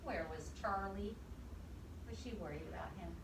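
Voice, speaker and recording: A woman reading a storybook aloud to young children, expressive, pausing to ask simple questions and repeat answers. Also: medium pitch. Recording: echoey, distant mic, clear background noise.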